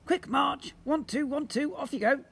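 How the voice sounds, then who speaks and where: A man's voice: extremely high pitch, normal speed, vocal fry, a bit bright, pitched above the performer's own voice, heavily acted. A man voicing a cheerful, bossy father who barks orders like a sergeant while reading a children's storybook aloud. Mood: commanding. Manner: hearty, commanding, sergeant-like.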